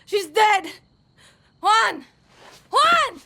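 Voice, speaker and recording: Defiant young guerrilla woman, high-pitched, animated. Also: drawn-out words, vocal fry, exaggerated voice. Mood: distraught. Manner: blunt, emotional. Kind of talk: cinematic video game acting.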